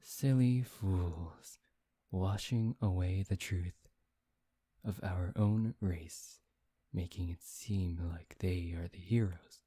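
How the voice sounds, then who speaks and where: Man playing a slow, dark-voiced proud vampire. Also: husky, dry recording, full character voice. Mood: scornful. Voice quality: low, dark, soft voice. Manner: slow, halting, pausing to search for words. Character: proud, dismissive male vampire lecturing on his history. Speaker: ASMR roleplay voice actor. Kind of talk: ASMR roleplay monologue.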